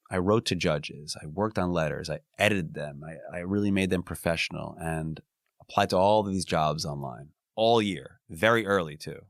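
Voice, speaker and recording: A man's voice: sits low, no room sound, clean studio sound. A man speaking as a lawyer guest in an interview, earnest and reflective, often restarting his phrases. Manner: earnest, frequent restarts and "you know". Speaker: employment and labor attorney, guest.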